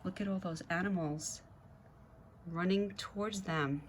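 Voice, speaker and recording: A woman's voice: on the low side, a little slow, noisy background. A woman reading a children's picture book aloud, speaking directly to young listeners with little asides as she goes. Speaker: guest storybook reader.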